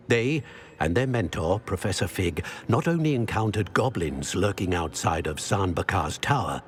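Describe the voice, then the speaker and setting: An actor playing a stately old wizard in a game cutscene, speaking in a measured, formal way. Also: male voice, on the low side, a little hiss or hum. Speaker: game character. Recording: faint music behind.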